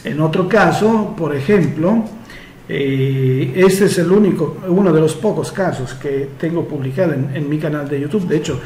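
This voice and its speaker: A man who is not a native Spanish speaker, talking slowly and hesitantly as a therapist answering follower questions, with frequent fillers. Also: on the high side, a little mellow, reverberant, a little hiss or hum. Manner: slow and measured, many hesitations and fillers. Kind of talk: casual solo Q&A monologue.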